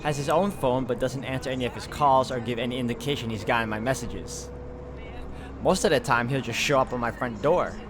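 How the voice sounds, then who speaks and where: A man's voice: mid-range voice, background noise. A man hosting a game walkthrough, reading visual novel narration aloud and commenting casually. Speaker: video game walkthrough host. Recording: music behind the voice. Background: game music.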